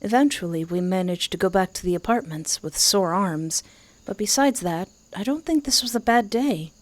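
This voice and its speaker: A woman reading a visual novel aloud, gentle and reflective as narrator and protagonist. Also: mid-range voice, lively intonation, normal speed, no echo. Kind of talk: reading a game's story aloud.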